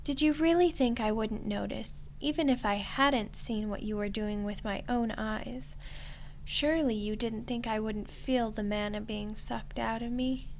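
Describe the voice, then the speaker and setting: A woman playing a smug, teasing archdemon in a roleplay ASMR style, soft and condescending, with an unhurried delivery. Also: fairly high pitch, a little hiss or hum. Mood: coolly accusing. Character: smug, teasing female archdemon toying with a human ruler.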